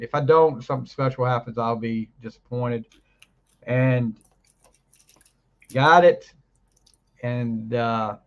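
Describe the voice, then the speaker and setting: Rambling man host, hoarse from flu. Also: mid-range voice, dull audio. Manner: rambling, laughs often, trails off mid-sentence. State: recovering from flu, voice deeper than usual. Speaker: podcast co-host. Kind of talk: casual chat.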